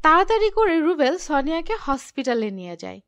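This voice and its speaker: High-pitched woman narrating a story. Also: sing-song, fairly quick, a bit bright, lo-fi sound.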